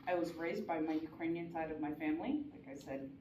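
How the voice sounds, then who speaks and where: A woman's voice: sits low, a little fast, highs cut off. A woman giving a prepared, earnest talk at a conference, with small restarts. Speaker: conference speaker. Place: conference hall. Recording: echoey hall from far off, noisy.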